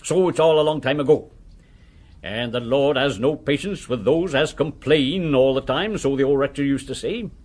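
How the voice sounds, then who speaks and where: An actor playing a chatty, deferential village postmaster and old soldier in a period radio drama. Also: male voice, mid-range voice, normal speed, darkish tone, lightly acted. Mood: frank, matter-of-fact. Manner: garrulous, deferential, inquisitive. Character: chatty, deferential village postmaster, a one-legged former army corporal.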